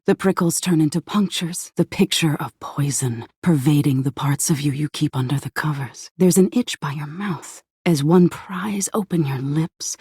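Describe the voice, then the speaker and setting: A low-voiced living nightmare from a video game, sinister and seductive. She draws her words out at an unhurried pace and toys with the listener in playful menace. Her dark, husky tone often sinks into breathy whispers. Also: dry recording, clean studio sound, heavily acted. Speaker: video game character voice actor. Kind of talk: theatrical video game voice acting.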